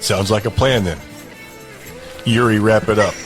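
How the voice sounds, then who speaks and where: An actor in an audio drama playing a brutal gang boss and enforcer with a curt, commanding manner. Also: male voice, lowish voice, measured pace, faint music behind, natural voice.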